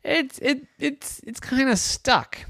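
Storyteller doing a nervous little boy, stammering, high and lively, dry close sound. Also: slow pace, no room sound, heavily acted. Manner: stammering, worried. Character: anxious young baseball boy. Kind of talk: improvised bedtime story.

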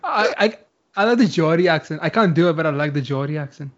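A man's voice: fairly high pitch, lively intonation, fast talker, highs cut off. Easygoing man laughing on a call. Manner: easygoing, agreeable, laughs often. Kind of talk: casual chat.

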